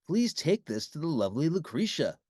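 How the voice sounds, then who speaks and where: A reader takes on a clever young fairy-tale prince, lovestruck and courtly. The lines come out heartily, with animated pitch. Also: fairly quick, no echo, clean studio sound. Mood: courtly, eager. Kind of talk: children's storybook character voice.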